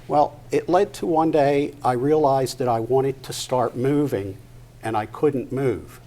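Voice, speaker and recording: An older man giving a personal testimony to an audience at a live event, halting and deliberate, pausing mid-sentence. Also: mid-range voice, mellow. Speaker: guest speaker at a patient discussion event. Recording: noticeable background noise, live event.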